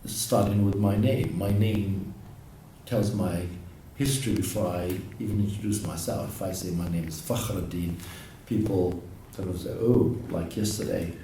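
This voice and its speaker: A man's voice: low-pitched, fairly even intonation, mellow. Reflective man from Morocco, echoey room. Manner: halting, reflective, many restarts. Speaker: interviewee. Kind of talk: documentary interview. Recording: very echoey, distant mic, faint noise.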